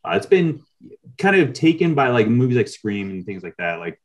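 A middle-aged man speaking as an interview guest on a video call, explaining things in a measured, conversational way. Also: mid-range voice, big pitch swings.

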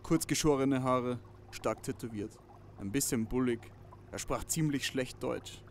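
A man's voice: mid-range voice, a little slow, a little hiss or hum, lightly acted. A man playing a hapless, lonely pet shop owner in an audio drama. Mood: helpful.

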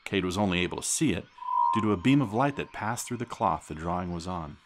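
A grandfather acted by a man tells a sci-fi tale in an audio drama. His voice is low, and there is clear noise behind him. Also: animated, quick pace. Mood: suspenseful narration. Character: grandfather telling his grandchild a space saga. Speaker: narrator. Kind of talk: storytelling narration.

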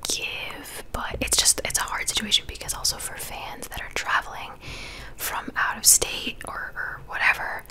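A woman's voice: pushed and bright, poor audio. A young woman in her twenties whispering casual gossip chat for an ASMR video, close to a studio microphone in a small bedroom. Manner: chatty, conversational whisper. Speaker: ASMR creator. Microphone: large studio mic close to the mouth.

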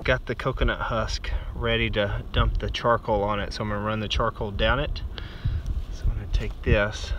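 A man's voice: lowish voice, little pitch movement, normal speed, noisy background. A man in his forties with a Texan accent, casually explaining survival skills as he works outdoors on a beach. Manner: explains his steps plainly while handling things. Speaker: survival vlogger. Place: open sandy beach and dunes outdoors.

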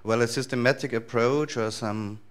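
A man in his thirties with an Austrian accent, giving a relaxed, loosely structured talk to a conference audience in a large lecture hall. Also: medium pitch, moderate pace. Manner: relaxed, thinking aloud with frequent fillers and restarts. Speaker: conference speaker.

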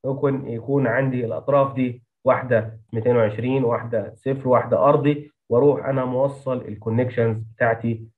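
A man's voice: mid-range voice, fairly even intonation, fast talker, few pauses, narrow, tinny audio. A man with an Egyptian Arabic accent lecturing through technical slides as an instructor, dropping English technical terms into his speech. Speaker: engineering instructor.

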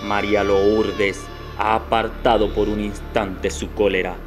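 A man's voice: on the low side, little pitch movement, unhurried. A man narrating a scripted radio drama in a solemn, dramatic manner. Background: guitar music.

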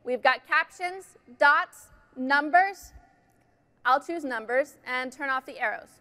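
A woman's voice: high-pitched, slow pace, pushed and bright. A woman giving a polished, enthusiastic scripted keynote presentation on a large conference stage.

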